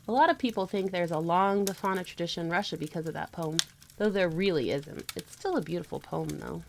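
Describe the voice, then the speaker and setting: A woman's voice: on the low side, a little fast, gravelly, background noise. A woman playing an informative mother in a family audio drama, explaining things in a teacherly, conversational way. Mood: explanatory.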